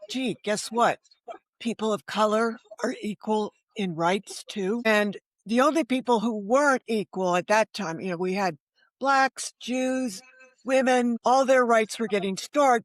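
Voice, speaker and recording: An older woman from the United States speaks at a middle pitch, telling personal stories as if across a table. She is candid and self-deprecating, often questioning herself in asides. The recording is dry, with little room sound. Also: lively intonation, a little slow, no room sound. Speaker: novelist, interview guest. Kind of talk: conversational personal storytelling. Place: remote video call.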